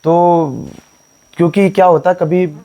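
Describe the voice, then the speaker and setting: A man with a Garhwali accent, a folk singer being interviewed, talking earnestly with a hoarse voice. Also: high-pitched, steady tone, measured pace, dark tone. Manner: plain, earnest storytelling. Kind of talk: casual interview and folk singing.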